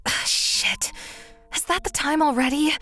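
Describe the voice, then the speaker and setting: An actress playing an earnest, caring teenage girl in a visual novel, with a gently teasing streak. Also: sits high, unhurried, faint music behind. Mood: alarmed.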